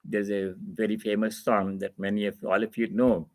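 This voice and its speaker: Man giving a measured spiritual discourse. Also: on the low side, flat delivery, a little mellow. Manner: didactic, repeats phrases for emphasis. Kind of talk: spiritual discourse, reading and explaining scripture.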